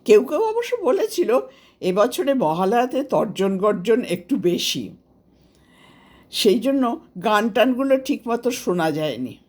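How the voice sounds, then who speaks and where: A woman with an Indian Bengali accent narrating a scripted story of memories in an expressive, unhurried storybook manner. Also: a little high. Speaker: author narrating her own story.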